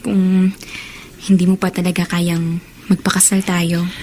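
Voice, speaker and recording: A woman's voice: fairly low pitch, little pitch movement, a little slow, a little mellow, natural voice. Woman playing a loving, patient Filipino girlfriend. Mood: affectionate, understanding. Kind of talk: Filipino radio drama acting. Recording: faint background ambience noise.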